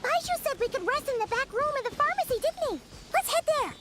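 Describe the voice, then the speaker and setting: A woman voicing a tiny, chirpy childlike fairy companion in a game, chattering in a cute, expressive way. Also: extremely high pitch, fast talker, heavy background noise. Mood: eager. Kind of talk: animated game character acting.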